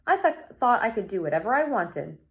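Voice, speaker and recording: Woman reading as an earnest young boy, raised pitch. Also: very animated, fairly quick. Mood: curious. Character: curious, sensitive young boy. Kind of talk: children's novel read-aloud.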